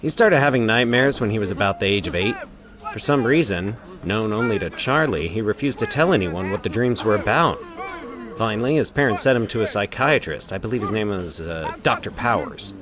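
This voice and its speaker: A man playing a weary, measured doctor explaining things in a dramatic story reading. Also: fairly low pitch, animated, lightly acted. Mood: resigned.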